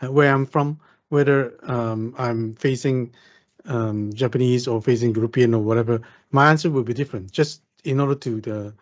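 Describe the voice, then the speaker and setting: A man's voice: mid-range voice, normal speed, highs cut off. A man from Vietnam joining a discussion, talking hesitantly with many fillers and restarts. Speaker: participant. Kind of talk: casual chat.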